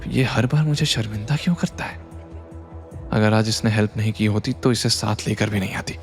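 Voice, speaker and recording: A man voicing a proud, scornful young businesswoman in an audio drama, sharp and indignant, slipping into English. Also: lowish voice, fairly quick, soft background music, lightly acted. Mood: irritated. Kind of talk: Hindi audio drama acting.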